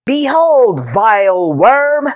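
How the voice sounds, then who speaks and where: A woman voicing a pompous six-year-old boy knight who makes grand, loud declarations. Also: fairly high pitch, big pitch swings, drawn-out words, pitched above the performer's own voice, exaggerated voice. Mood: boastful.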